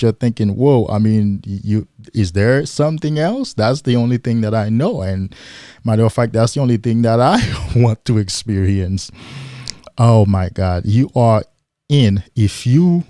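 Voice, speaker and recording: A man talks slowly and deliberately on a livestream, his voice low and mellow. He leans on rhetorical questions and emphatic repetition, and the sound is dry and close. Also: no room sound. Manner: mimics excited men in a higher voice. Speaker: livestream host. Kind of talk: motivational livestream talk.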